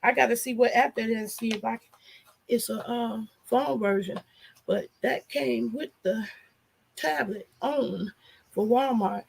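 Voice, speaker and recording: A woman vlogger with a hoarse, nasal voice and a gravelly edge, pitched a little high. She talks to her viewers in a loose, frank and chatty way, drifting from one thing to the next. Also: unhurried. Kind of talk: casual chat to a live audience.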